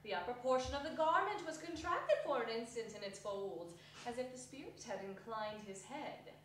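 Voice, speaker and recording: Female stage narrator, fairly high voice, solemn storybook delivery, distant from the microphone. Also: animated, moderate pace. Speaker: narrator in a dramatic reading. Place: theatre hall stage reading. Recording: echoey hall, distant mic, some noise.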